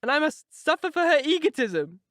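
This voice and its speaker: A man's voice: expressive, brisk, fairly bright tone, no echo, studio quality. A man reading a classic novel aloud, voicing an infatuated young woman who is passionate and tearful, in a raised, pleading voice. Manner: pleading, high-pitched and emotional.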